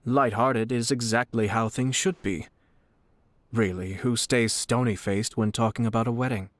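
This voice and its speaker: Game role: man voicing polished political noble, calm mid voice, dry booth sound. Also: medium pitch, dry recording, natural voice. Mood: gracious. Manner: courteous, measured, politely probing. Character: smooth, shrewd young male noble commissioner.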